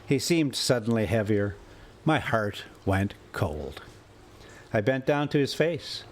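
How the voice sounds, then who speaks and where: A man reading a classic adventure story aloud in deliberate, theatrical phrases broken into short clauses. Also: on the low side, sing-song, a little hiss or hum. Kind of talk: dramatic audiobook-style narration of an adventure story. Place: inside a moving motorhome.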